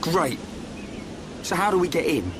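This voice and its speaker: A man voicing a brash, friendly, scrappy young fighter in a video game cutscene, casual and plainspoken, under loud music. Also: a little high, big pitch swings, measured pace, background noise. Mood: eager. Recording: loud music over the dialogue.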